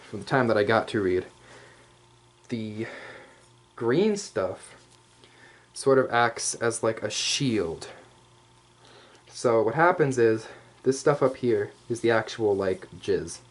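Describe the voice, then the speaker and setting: A man's voice: lowish voice, expressive, slow, pauses often. A man doing an improvised, casual ASMR roleplay show-and-tell, rambling with jokey asides and swearing. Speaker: ASMR roleplay host showing props.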